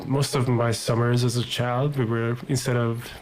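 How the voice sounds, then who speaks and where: A man from Hungary speaks in a flat, level voice with little melody. He explains his thoughts patiently, often restarting a sentence. He is a guest in a studio interview. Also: mid-range voice, moderate pace, crowd noise, low-quality recording. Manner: reflective, explanatory, many restarts. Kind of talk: interview conversation.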